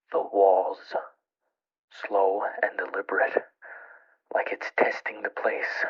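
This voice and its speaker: An actor playing a frightened man alone in the wilderness, recording horror audio logs in a halting, breathy voice that drops into whispers. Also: lowish voice. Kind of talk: horror audio-log drama. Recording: dull lo-fi radio-like recording.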